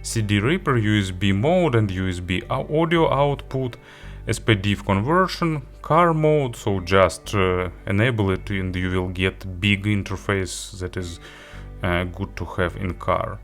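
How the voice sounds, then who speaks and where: A man giving a product review walkthrough, halting a little as he lists features item by item. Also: lowish voice, faint music behind. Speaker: tech reviewer demonstrating an audio player.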